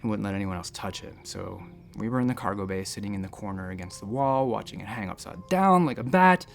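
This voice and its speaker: A man's voice: fairly low pitch, expressive, quick pace, gravelly, soft background music. A man playing a nervous space-station doctor who talks in a hesitant, rambling, self-deprecating way in a sci-fi audio drama. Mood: reflective, tender. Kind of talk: sci-fi audio drama acting, conversational first-person storytelling.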